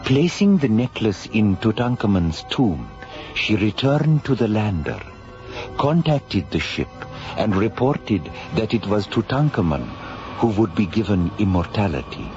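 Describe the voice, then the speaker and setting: A solemn, ancient alien envoy recounts things with formal gravity in a radio play. The voice is fairly low and unhurried, with music behind it. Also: male voice, very animated, a little mellow. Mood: solemn, reverent. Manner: formal, grave, storytelling. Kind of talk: radio drama acting. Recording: dull radio recording with music underneath.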